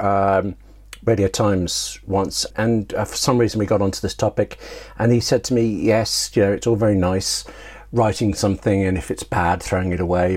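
Husky, low-voiced British man telling anecdotes. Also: a little mellow. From United Kingdom. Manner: talkative, self-interrupting storyteller. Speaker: interview guest, professional writer. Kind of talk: casual interview chat, anecdotal.

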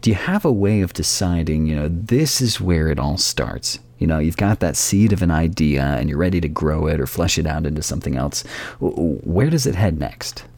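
A man's voice: very deep voice, expressive, moderate pace, creaky, darkish tone, no echo. A man hosting a conversational podcast interview, phrasing questions and remarks in a wordy, considered way. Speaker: interview host.

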